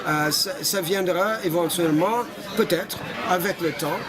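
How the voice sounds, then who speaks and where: Older man from Canada, hesitant, slightly high voice, street interview amid a crowd. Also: unhurried. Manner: hesitant, many fillers and restarts. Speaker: interviewee, association founder. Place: outdoors in a busy street. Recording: loud street crowd behind. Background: crowd noise.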